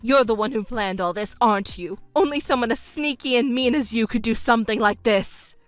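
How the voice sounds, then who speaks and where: A woman voicing a composed young stepdaughter who speaks in a calm, cold, measured way. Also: a little high, soft background music, close to an everyday voice. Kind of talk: narrated drama dub.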